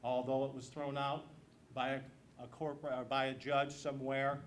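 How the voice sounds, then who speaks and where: A man's voice: medium pitch, little pitch movement, normal speed. An American man speaking as a political candidate, giving an emphatic campaign speech with repeated phrases. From Missouri, United States. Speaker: state legislator and candidate. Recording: noisy live event room recording.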